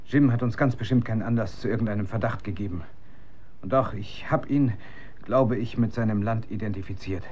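A man's voice: on the low side, a little fast, darkish tone, lightly acted. A man in a German radio drama playing a tormented research chemist who is losing his grip on reality, intense and urgent. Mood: troubled, guilty. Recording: dull, band-limited radio recording.

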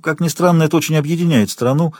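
A man's voice: fairly high pitch, fast talker, a little mellow, dry recording, studio quality, pitched above the performer's own voice, lightly acted. A man voicing a principled mother earnestly lecturing her grown son in an audiobook.

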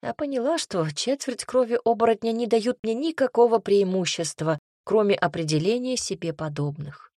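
A woman's voice: fairly high pitch, moderate pace, hardly any pauses, a little mellow, dry recording, studio quality. A woman narrating a first-person fantasy audiobook with a wry, ironic storytelling manner.

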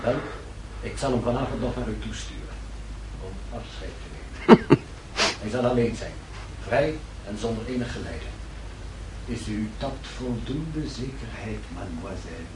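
A man's voice: on the low side, lively intonation, moderate pace, long pauses, close to an everyday voice. A man playing a cold, courtly, scheming French count in a radio play, speaking formally and with unyielding suavity. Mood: smooth, satisfied. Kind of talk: Dutch radio play acting. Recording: roomy, distant, hissy old radio recording.

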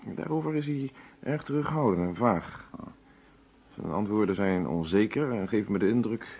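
A man playing a composed, methodical police inspector in a Dutch radio play, speaking in a formal, measured way. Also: fairly low pitch, animated, breathy edge. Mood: businesslike, reflective. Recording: dull lo-fi old radio drama recording.

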